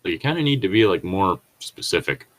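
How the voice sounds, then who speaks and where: A low-pitched man reads a story aloud as a co-host. He slips into casual, digressive asides with an irreverent, foul-mouthed manner. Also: sing-song, fairly quick, a bit bright. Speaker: co-host reading and commenting on stories. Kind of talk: read-along with casual joking asides.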